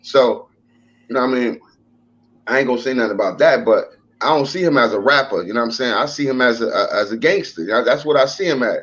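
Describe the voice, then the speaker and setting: A man of middle pitch speaking casually, giving plain opinions and backing others up with quick agreement. His voice comes through a slightly dull, lo-fi channel. The talk is relaxed and conversational. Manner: agreeable, keeps affirming with short replies. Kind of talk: casual chat.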